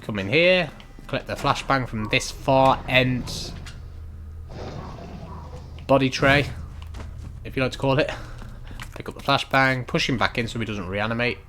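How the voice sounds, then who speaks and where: A man giving unhurried, instructional commentary over a video game walkthrough in a casual way. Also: medium pitch, pushed and bright, slight background noise. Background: video game ambience.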